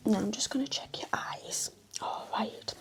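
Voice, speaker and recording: Lady doing asmr nurse roleplay, slow whisper w a crackly fry, soothing, close on mic. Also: on the high side. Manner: gentle, soothing, reassuring.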